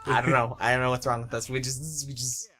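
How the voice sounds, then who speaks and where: A man's voice: mid-range voice, flat delivery, brisk, sharp tone. A young man chatting casually on a commentary track as a series creator, often laughing through his words.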